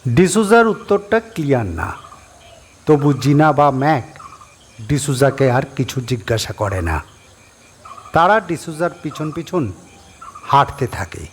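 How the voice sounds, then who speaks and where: A man narrating a horror audio story in a calm, unhurried way. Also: mid-range voice, a little hiss or hum.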